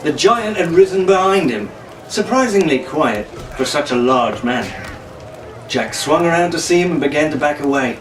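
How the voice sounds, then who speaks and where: A man's voice: fairly high pitch, expressive, moderate pace. A man narrating an adventure story in a dramatic, scripted audiobook style. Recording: roomy, distant mic, faint noise.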